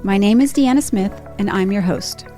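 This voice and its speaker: A woman's voice: mid-range voice, moderate pace, mellow, soft background music. A woman hosting a podcast, who introduces her guest with lavish praise. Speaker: podcast host.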